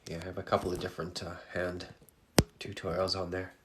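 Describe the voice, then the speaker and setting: A man painting on a live stream, answering viewers in a soft, halting way while congested with a cold. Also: low voice, monotone, a little hiss or hum. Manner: soft-spoken, halting, many fillers. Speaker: painting instructor. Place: art studio, indoors.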